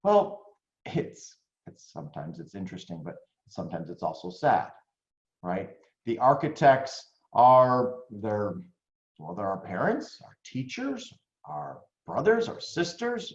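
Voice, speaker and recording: Animated American man coaching, roomy sound. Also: lowish voice, a little slow. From United States. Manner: animated, conversational, frequent "right?" tags. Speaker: business coach. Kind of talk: online coaching talk. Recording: roomy, slightly dull lo-fi audio.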